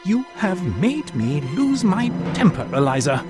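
A pompous, irritable professor from a storybook, as a man plays him. He blusters and protests in a raised voice that swoops up and down wildly. Music runs clearly underneath. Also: high-pitched, big pitch swings, unhurried, pitched above the performer's own voice. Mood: indignant. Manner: indignant, blustering. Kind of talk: storybook reading.

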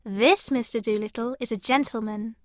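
A woman's voice: sits high, lively intonation, quick pace, a little mellow, no echo, clean studio sound. A woman playing a polite, deferential young housemaid in a dramatised storybook.

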